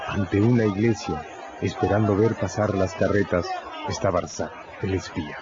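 Low-voiced solemn male radio drama narrator. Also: steady tone, moderate pace, heavy background noise. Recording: dull recording, loud music and crowd behind.

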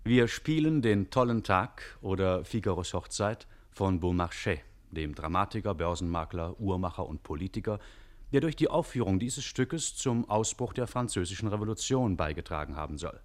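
Casting: male announcer for radio drama, lower register, very lively intonation, scripted narration. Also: moderate pace.

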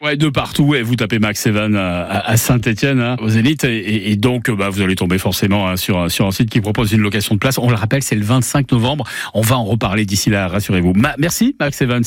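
A man's voice: fairly low pitch, brisk, no echo, studio quality. A man hosting a local radio interview, chatty and upbeat, with frequent restarts. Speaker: radio host. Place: radio studio. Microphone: studio microphone.

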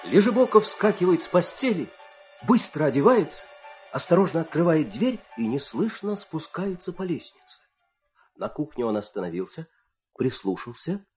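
Male, folk-tale teller and country husband for audio drama: expressive, theatrical, very lively intonation. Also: fairly high pitch, normal speed, soft background music. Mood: animated storytelling. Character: storyteller and coaxing, secretive farmer husband of a folk tale. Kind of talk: expressive audio play acting and narration.

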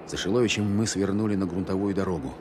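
An actor playing a shrewd wartime officer, thinking aloud in measured, reflective radio-play narration. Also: male voice, deep voice, background noise, lightly acted. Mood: pensive, resolute. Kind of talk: dramatic first-person narration, radio play. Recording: radio drama with music underneath. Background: clear music.

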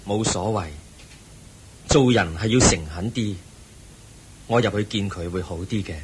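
An actor playing the Buddha, a calm and compassionate teacher who speaks gently and patiently, in a radio play. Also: male voice, lowish voice, a little hiss or hum, lightly acted. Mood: serene. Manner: gentle, patient, measured preaching. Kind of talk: Buddhist radio drama acting.

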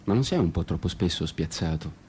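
A man narrating a scripted first-person story in an ironic, disenchanted, deliberately repetitive way. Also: low voice, expressive, darkish tone, a little hiss or hum. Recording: prominent music under the voice. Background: plucked guitar music.

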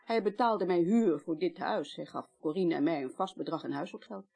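A woman's voice: on the low side, normal speed, vocal fry, a crowd in the background. An actress playing a candid, composed older woman in a naturalistic radio drama, frank and matter-of-fact in manner. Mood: candid, wry. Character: candid, composed woman of about sixty, longtime mistress.

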